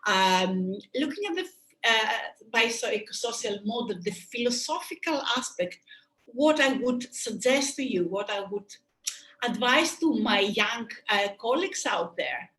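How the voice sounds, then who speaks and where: A woman's voice: fairly high pitch, expressive, a little slow, a bit bright. Greek-accented woman giving an echoey webinar talk. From Greece. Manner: earnest, thoughtful, many "um"s and restarts. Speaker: sports medicine doctor presenting a webinar. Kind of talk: webinar presentation.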